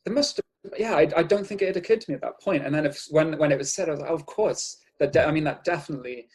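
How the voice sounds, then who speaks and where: A man's voice: on the high side, quick pace, breathy edge. Hesitant Welsh man with a Cardiff accent. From Wales. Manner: hesitant, many restarts and fillers. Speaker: guest artist. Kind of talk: casual interview chat.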